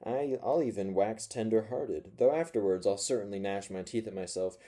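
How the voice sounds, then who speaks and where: A spiteful, self-mocking forty-year-old man rants from his corner, voiced by a male reader. His voice sits low and dark, with a mellow softness under the bitterness. He swings between sour mutters and sudden loud sardonic bursts. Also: normal speed, natural voice. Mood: bitter. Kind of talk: first-person audiobook reading.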